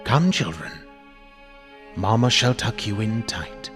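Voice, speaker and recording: A man giving a theatrical, menacing dramatic reading of horror narration, deliberate and at times dropping into whispers. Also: on the low side, animated, fairly bright tone. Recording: long reverb over music. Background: music.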